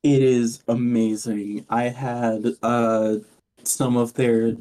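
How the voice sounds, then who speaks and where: A man's voice: medium pitch, flat delivery, slow, mellow, poor audio. A man co-hosting a podcast in casual, joking group chat, with a dry, mock-serious way of talking. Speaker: podcast co-host.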